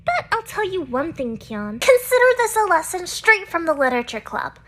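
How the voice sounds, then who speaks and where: A woman's voice: extremely high pitch, very animated, moderate pace, fairly bright tone, exaggerated voice. A woman voicing a touchy, bossy teenage schoolgirl manga fan in a visual novel dub, defensive and huffy.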